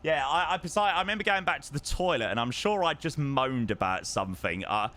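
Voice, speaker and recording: A young man hosting a live stream, telling stories in a casual, chatty way. His voice is fairly high and bright, and his pitch swings widely as he gets animated. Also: a little fast. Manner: chatty, animated storytelling, reads chat aloud. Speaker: flight sim streamer.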